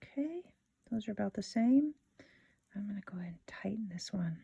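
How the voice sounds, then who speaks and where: A woman hosting a casual step-by-step craft tutorial in an informal, unhurried way, thinking aloud as she works. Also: mid-range voice, lively intonation, vocal fry, dark tone, breathy edge, no room sound.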